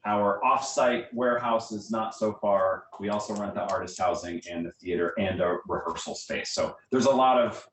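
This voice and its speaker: A man's voice: normal speed, few pauses. A man answering a group's questions in a conversational, enthusiastic way, as a guest theater director. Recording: roomy, distant mic, faint rumbling noise.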